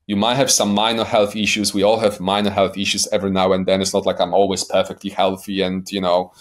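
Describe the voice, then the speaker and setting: A man in his forties with a light Polish accent, a life coach talking as a podcast guest in a blunt, emphatic way, swearing for emphasis and often checking in with "right?". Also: on the low side. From Poland. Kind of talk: podcast interview.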